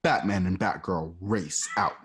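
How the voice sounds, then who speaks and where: A man's voice: sits low, unhurried, a bit bright, husky. A man narrating stage directions for a home radio play, casual and offhand. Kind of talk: reading stage directions aloud.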